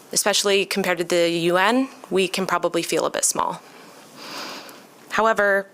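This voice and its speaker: An American woman from Michigan delivering a prepared public comment as a resident, measured and earnest. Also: mid-range voice, a bit bright. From Michigan, United States. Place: city commission meeting room.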